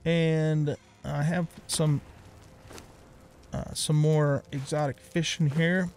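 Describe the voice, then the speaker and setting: A man's voice: a little high, little pitch movement, slow, a little mellow, a little hiss or hum. A middle-aged man giving casual let's play commentary, thinking aloud as he plays. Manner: talks to himself, thinking aloud.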